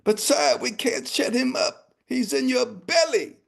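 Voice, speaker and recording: A man's voice: very high voice, measured pace, creaky, voice pushed up, exaggerated voice. A man voicing a nervous royal guard who stammers and pleads.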